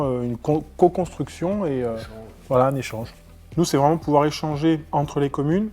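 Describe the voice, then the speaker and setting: A man talks conversationally in an interview. His voice is mid-pitched with a relaxed, dark tone, over a faint music bed. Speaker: local municipal representative. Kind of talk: unscripted interview testimony.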